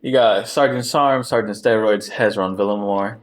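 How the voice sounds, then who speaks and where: A man's voice: fairly low pitch, moderate pace, slight background noise. A man hosting a podcast in casual, slangy bro talk, with some swearing. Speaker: podcast host.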